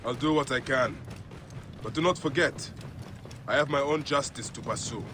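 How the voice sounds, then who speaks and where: A man plays a grim middle-aged warrior of ancient Egypt in a game cinematic. He speaks tersely and solemnly at a middling pitch. Outdoor ambience is clearly audible around him. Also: normal speed, background noise, close to an everyday voice. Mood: grim, resolute. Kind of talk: cinematic video game acting.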